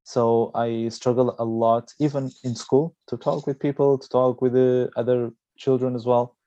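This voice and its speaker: A man with a Portuguese accent giving a conversational personal account in an interview, pausing haltingly mid-phrase. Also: medium pitch, flat delivery, mellow, no echo, lo-fi sound. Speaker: interviewee, amateur karate practitioner.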